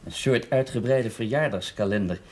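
An actor playing an educated, playful newcomer to a village, speaking wry banter in a television drama. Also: male voice, mid-range voice, moderate pace, close to an everyday voice. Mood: wry, curious.

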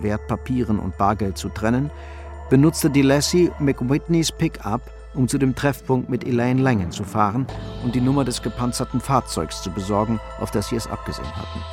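A man narrating a crime radio play in a scripted, literary style. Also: fairly low pitch. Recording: music under the voice. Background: music.

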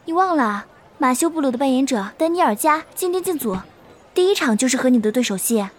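A woman's voice: sits high, expressive, fast. A woman acting an eager, courteous, easily flustered celebrity assistant in an audio drama. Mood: brisk.

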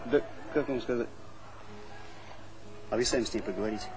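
An actor playing a brash, idealistic young engineering graduate, speaking with irony and challenge in a stage comedy. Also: male voice, medium pitch, fairly quick, music in the background, close to an everyday voice, poor audio. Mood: reserved. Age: young adult. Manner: ironic, challenging. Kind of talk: Soviet stage comedy acting.

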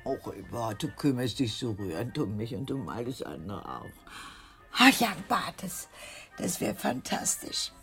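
An actress playing a scatterbrained, extravagant middle-aged aunt in a radio crime play, gushing theatrically in halting, sighing phrases. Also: female voice, a bass voice, big pitch swings, vocal fry, a bit bright, faint music behind, heavily acted. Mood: languid, gushing.

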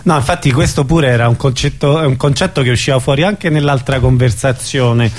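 A man's voice: mid-range voice, fairly quick. A man interviewing in a conversational way, feeling his way through roundabout, hesitant questions. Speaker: interviewer, host.

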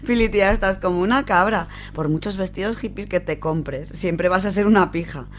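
A woman's voice: medium pitch, moderate pace, slight background noise, close to an everyday voice. A woman playing a blunt young friend who teases her pal. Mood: teasing. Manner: teasing, blunt.

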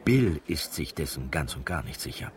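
A man's voice: a bass voice, big pitch swings, moderate pace. A man narrating a radio drama from a script, as a wry storyteller given to chatty asides. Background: music.

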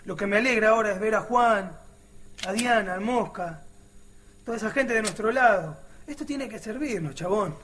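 An earnest, outspoken working-class Argentine militiaman in a radio drama. He talks in slangy Rioplatense street speech, in a high-pitched voice over faint noise. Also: moderate pace, fairly bright tone. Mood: earnest, hopeful. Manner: colloquial, slangy.

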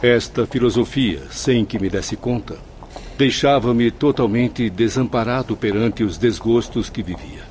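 A man's voice: medium pitch, measured pace, a little mellow, slight background noise, natural voice. An actor in a radio drama playing the spirit of a remorseful male professor, formal, earnest and penitent. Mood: regretful.

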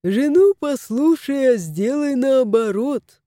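A woman voicing a sly old male vet who speaks slow, broken Russian. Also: high voice, expressive, warm tone, no room sound, clean studio sound, voice pushed up. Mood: mournful.

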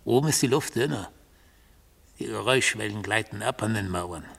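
Stern mystical orator, very gravelly, raspy, measured declamation, disdainful, radio play monologue. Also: male voice, fairly low pitch, animated, fairly bright tone, full character voice. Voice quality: gravelly, husky. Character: stern, mystical accused man, philosophising in court. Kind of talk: 1970s radio drama monologue.

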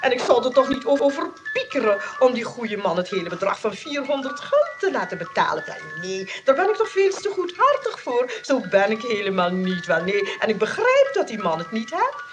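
A talkative woman shopkeeper with a high, very lively voice. She is coy and wheedling, putting on kind-heartedness over a grasping streak, in radio play style, with music behind her. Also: fairly quick. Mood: falsely generous. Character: chatty, grasping female souvenir shopkeeper, feigning kind-heartedness. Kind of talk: Dutch radio play acting.

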